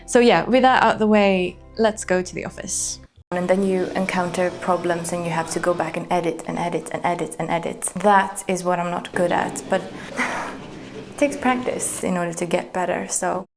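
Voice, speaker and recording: A woman's voice: on the low side, fairly even intonation, normal speed, creaky, over music. A young woman from northern Sweden, an illustrator, talking to camera in a casual, thoughtful, frank way, with fillers like "like" and "so yeah.". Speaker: illustrator and video creator. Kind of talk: casual reflective talk to camera.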